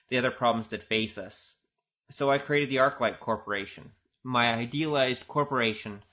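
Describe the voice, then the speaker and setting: A man with a fairly deep voice talks through a director's commentary in an informal, conversational way. He sounds earnest as he speaks as a producer. The recording is a little muffled and lo-fi. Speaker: audio drama producer giving director's commentary. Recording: dull, lo-fi recording.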